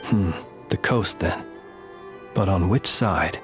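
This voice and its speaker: An actor playing a courteous, quietly solemn gentleman lightkeeper in a video game, speaking in a measured, formal manner. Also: low-pitched, music in the background. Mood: calm, reflective. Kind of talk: scripted game dialogue.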